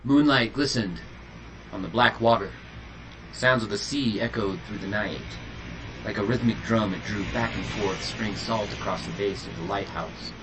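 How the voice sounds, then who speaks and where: A man's voice: lowish voice, normal speed. A man reading a scripted horror story in a dramatic, suspenseful way. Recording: noisy, with added ambient sound effects. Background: horror sound effects and ambience.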